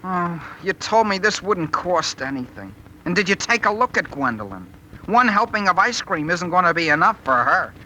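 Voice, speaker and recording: A man's voice: sits high, very animated, moderate pace, pushed and bright, exaggerated voice. An actor playing an awkward, anxious, whiny teenage boy in a 1930s radio comedy. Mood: glum, flustered. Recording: noisy vintage 1939 radio recording.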